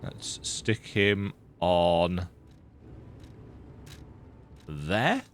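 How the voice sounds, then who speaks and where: A man with a croaky voice giving casual, rambling, self-deprecating commentary while he plays a video game. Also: low-pitched, expressive, extremely slow, slight background noise. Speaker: let's play gamer.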